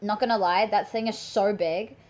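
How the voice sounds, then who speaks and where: A woman's voice: mid-range voice, fairly even intonation, normal speed. A woman giving casual, sarcastic gameplay commentary. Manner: sarcastic, exclaiming, chatty reactions. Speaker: gaming streamer.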